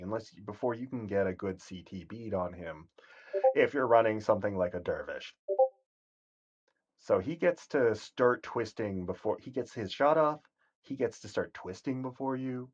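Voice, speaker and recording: A man giving casual, rambling commentary on a game stream, with a calm, soothing voice and frequent self-corrections. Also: lowish voice, muffled recording. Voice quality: soothing, sleep-inducing voice.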